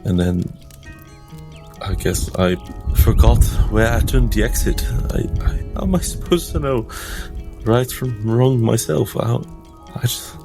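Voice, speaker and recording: A man as an earnest troubled priest, faltering, slow pace, gravelly low voice, tabletop roleplay. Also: lively intonation, music in the background. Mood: anguished, earnest. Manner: hesitant, stammering, earnest.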